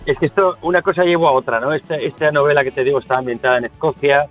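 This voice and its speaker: A man from San Sebastián, a musician guest in a casual radio interview, talking haltingly with many restarts. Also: fairly high pitch, fairly even intonation, muffled recording, faint music behind. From Venezuela, raised in San Sebastián.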